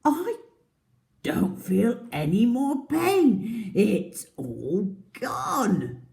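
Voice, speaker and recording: A big male crocodile from a storybook, acted out by a woman, speaks slowly and emphatically. He sounds grateful and softened, his pitch rising and falling in broad swings. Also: very animated, vocal fry, full character voice. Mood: relieved.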